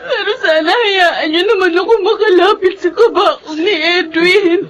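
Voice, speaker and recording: A humble young Filipino sweetheart in a radio melodrama. Her voice is extremely high and tearful as she politely pleads, crying, over faint music. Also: measured pace. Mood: tearful pleading.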